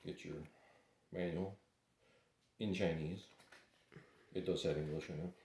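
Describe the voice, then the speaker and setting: A man's voice: deep voice, steady tone, a little slow, darkish tone. A man giving a casual, unscripted hands-on product unboxing in a plain-spoken, hesitant way. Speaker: product reviewer doing an unboxing. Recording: roomy echo, faint background noise.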